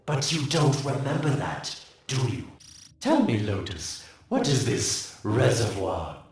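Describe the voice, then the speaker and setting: A sly, smug schemer in a video game, teasing and sarcastic. His voice is gravelly and slow, in a middle pitch. It sounds far off in a very echoey space with faint noise. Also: big pitch swings, darkish tone, exaggerated voice. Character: sly scheming male inventor, mocking and smug. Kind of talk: video game cutscene voice acting.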